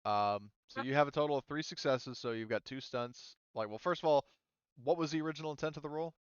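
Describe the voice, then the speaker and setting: A man running a tabletop roleplaying game as game master, talking haltingly and thinking aloud as he goes. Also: medium pitch, clean tone. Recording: roomy, slightly muffled microphone.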